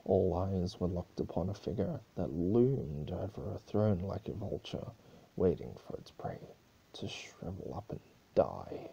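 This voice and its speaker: A man's voice: sits low, big pitch swings, a little slow, vocal fry, mellow, slightly rough, lo-fi sound. A man narrating a story aloud as a dramatic reading.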